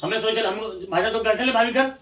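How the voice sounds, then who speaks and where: A man's voice: sits high, fast talker, a bit bright. A man playing a chummy uninvited relative in an amateur domestic comedy skit, deferential and familiar. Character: chummy uninvited male relative arriving to stay. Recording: echoey room, distant mic, faint noise.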